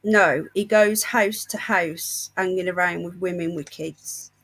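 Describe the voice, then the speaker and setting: A woman's voice: on the low side, fairly even intonation, measured pace, fairly bright tone, breathy edge, low-quality recording. A woman hosting a casual livestream, unwell with a painful chest, chatting in a gossipy, indignant storytelling manner. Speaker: livestream host.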